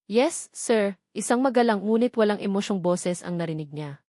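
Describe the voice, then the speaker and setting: A woman narrating a scripted romance story in a steady, measured way. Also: mid-range voice, dry recording, clean studio sound.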